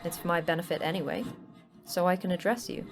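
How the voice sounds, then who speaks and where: A woman's voice: lowish voice, steady tone, close to an everyday voice. Woman acting a wry, terse facility manager, music behind. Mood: teasing, dry. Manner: brisk, dry, flippant. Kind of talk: audio fiction podcast acting.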